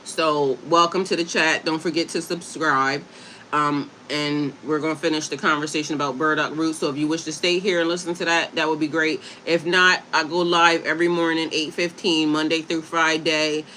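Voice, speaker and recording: A woman's voice: sits low, flat delivery, normal speed, bright, forceful tone, a little hiss or hum, low-quality recording. A woman from Delaware hosting a casual livestream, chatting with viewers and reading aloud from a website, stumbling over hard words and spelling them out. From Delaware, USA. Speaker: livestream host and herbalist.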